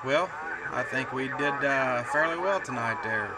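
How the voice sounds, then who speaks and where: A man's voice: mid-range voice, measured pace. An American man working a CB radio, talking in a folksy way full of radio jargon as he makes on-air contacts. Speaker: CB radio operator. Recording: loud radio static and noise. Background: radio static and noise.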